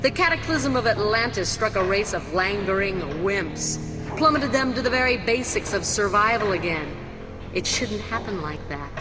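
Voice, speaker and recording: An actress playing an arrogant, ruthless villain ruler in a video game, haughty and declamatory. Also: female voice, mid-range voice, lively intonation, moderate pace, close to an everyday voice. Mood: contemptuous. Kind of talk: video game cutscene acting. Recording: long reverb, loud music behind.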